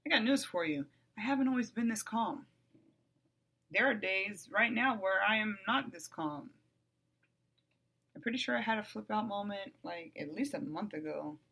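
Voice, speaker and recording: A middle-aged woman hosting her own podcast, talking bluntly and with profanity in a calm, even voice that flares up loud at times. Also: mid-range voice, normal speed, vocal fry, slightly rough. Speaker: podcast host. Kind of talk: candid monologue.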